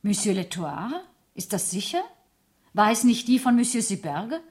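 An actress playing a nervous, secretive young French mademoiselle, hesitant and probing with feigned casualness, in a 1970s German radio play. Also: female voice, medium pitch, expressive, normal speed, a little mellow, lightly acted. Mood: anxious, probing.

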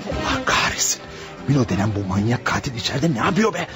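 A man's voice: mid-range voice, very animated, quick pace, music in the background. An actor playing a nervous male criminal lookout who mutters tensely in a radio play. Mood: panicky. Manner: tense, muttering commentary on what he watches. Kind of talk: Turkish radio play acting.

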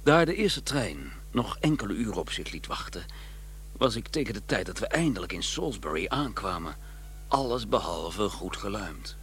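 An actor playing a grumbling, proper gentleman investigator who also narrates a radio play, wry and easily exasperated, with a husky voice. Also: lowish voice, sing-song, normal speed, a little hiss or hum. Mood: wry narrating.

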